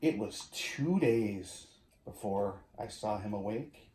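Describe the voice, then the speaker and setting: A man reading a children's storybook aloud in an expressive way, with drawn-out emphasis, as if talking to young listeners. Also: on the low side, a little hiss or hum. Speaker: community education director reading to children. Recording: roomy echo.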